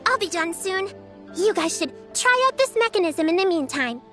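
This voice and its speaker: A woman voicing a tiny, cheeky fairy companion in a video game, chirpy, squeaky and childlike. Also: sing-song, normal speed, full character voice. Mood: curious. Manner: chirpy, refers to self in third person. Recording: loud music behind the voice.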